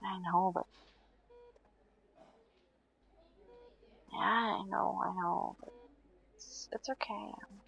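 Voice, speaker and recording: A woman playing a tired, stubborn but playful patient in an intimate audio roleplay, murmuring sleepily and haltingly, often close to a whisper. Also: mid-range voice, fairly even intonation, a bit bright, highs cut off, full character voice. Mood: weary reassurance. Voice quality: breathy, often dropping to a whisper.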